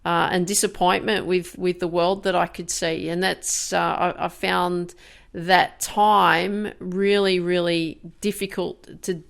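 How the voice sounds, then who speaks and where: A woman in her fifties with a slightly low voice, talking her way through a candid, reflective podcast monologue. Her tone is smooth and resonant, with a gravelly edge, and she often stops and restarts mid-thought. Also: level tone. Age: 50s. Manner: candid, halting, many restarts and fillers. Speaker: podcast host.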